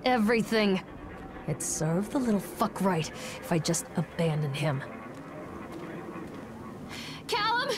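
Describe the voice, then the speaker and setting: An actress playing a bitter, unravelling young mother searching for her lost son in a horror game, speaking in a confessional way. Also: fairly high pitch. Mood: anxious calling. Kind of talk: first-person horror game monologue and dramatic acting.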